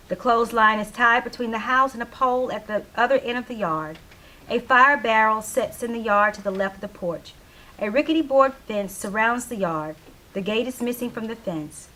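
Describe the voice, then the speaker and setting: Woman narrator, higher voice, scripted stage directions, theatre reading. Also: fairly bright tone, poor audio.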